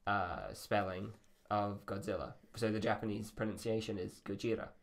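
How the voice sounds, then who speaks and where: A man's voice: on the low side, steady tone, normal speed, creaky. A man hosting a podcast in a casual, rambling and self-deprecating way. His voice sounds slightly feminine. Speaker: podcast host. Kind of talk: casual chat.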